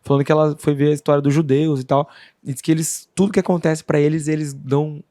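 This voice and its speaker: A man's voice: fairly high pitch, flat delivery, quick pace, warm tone, no echo, clean studio sound. A man co-hosting a podcast, reflecting on life in a casual chat.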